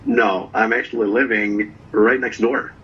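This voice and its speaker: Low-voiced man on a muffled call. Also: unhurried. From Norway. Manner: relaxed storyteller, tags lines with "right?". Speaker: guest vlogger. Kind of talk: casual interview chat.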